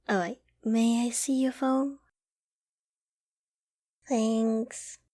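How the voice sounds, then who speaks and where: A woman playing a caring, affectionate and gently teasing girlfriend in an ASMR roleplay, with a coaxing, playfully flustered manner. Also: a little high, steady tone, very slow. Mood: reassuring, tender.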